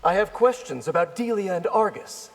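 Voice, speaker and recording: An actor playing an earnest, confident ninja hunter marked by grief in a fighting game. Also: male voice, high-pitched, moderate pace. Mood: somber, earnest. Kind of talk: video game fight intro dialogue. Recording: long hall reverb.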